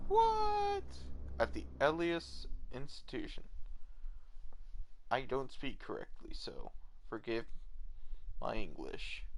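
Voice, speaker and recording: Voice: mid-range voice, a bit bright, a little hiss or hum, rough recording. A streamer casually reacting to a game, joking and swearing along the way. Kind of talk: live game commentary. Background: game audio.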